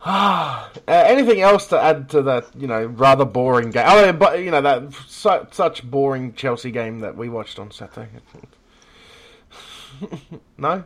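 A man with an Australian accent hosts a casual sports podcast. His voice sits at a medium pitch. He talks bluntly, jokes around and swears freely. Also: expressive, unhurried, fairly bright tone. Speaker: football podcast host. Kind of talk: casual chat.

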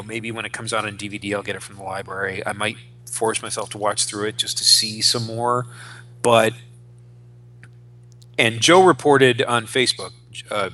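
Bright-voiced, emphatic man in his forties. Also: medium pitch. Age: 40s. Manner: emphatic, halting with repeated phrases. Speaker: podcast co-host. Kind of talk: casual opinionated pop-culture chat.

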